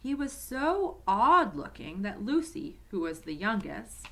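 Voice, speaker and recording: A woman's voice: medium pitch, sing-song, unhurried, sharp tone, a little hiss or hum. A woman reading a children's story aloud as a storyteller, expressively and addressed to young listeners.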